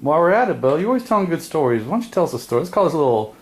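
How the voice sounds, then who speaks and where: A man behind the camera casually chatting and prompting his friend for a story, relaxed and easygoing. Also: on the high side, rapid-fire. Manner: relaxed, prompting, laughs easily. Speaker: video host behind the camera. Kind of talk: casual chat.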